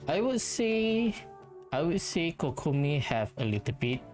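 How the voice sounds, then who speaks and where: A man doing casual gameplay commentary, rambling and halting as he thinks aloud. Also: fairly high pitch, sing-song, a little mellow. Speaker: gaming video host. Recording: game music behind the voice. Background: video game music.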